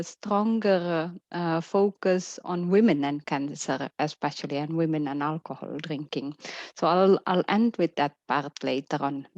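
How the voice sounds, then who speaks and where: A woman's voice: on the low side, a little mellow, no echo, lo-fi sound. A woman researcher giving a keynote talk on a webinar, speaking hesitantly with many fillers and restarts. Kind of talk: webinar presentation. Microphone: video call.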